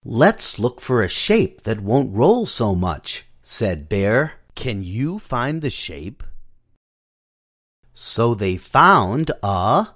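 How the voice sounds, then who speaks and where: A man narrating a children's storybook app, reading the story and gently prompting a child to tap and find shapes. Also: fairly low pitch, sing-song. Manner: slow, sing-song, coaxing prompts to a child. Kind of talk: children's read-along narration.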